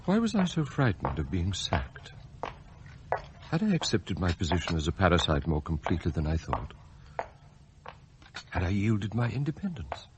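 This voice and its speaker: Casting: fussy English butler, formal and deferential, hugely swooping intonation, faint noise on the radio drama recording. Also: male voice, sits low, huge pitch swings. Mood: anguished, confiding. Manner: formal, prim, measured. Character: fussy, proud English butler, outwardly deferential, inwardly scheming. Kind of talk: British radio play acting.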